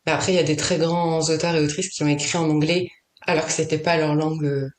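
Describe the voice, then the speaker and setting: Woman guest, lowish voice, searching for words with many restarts; roomy echo. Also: flat delivery, fast. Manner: thinks aloud, hesitant, many restarts and fillers. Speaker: poet and author, podcast guest. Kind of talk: casual conversation.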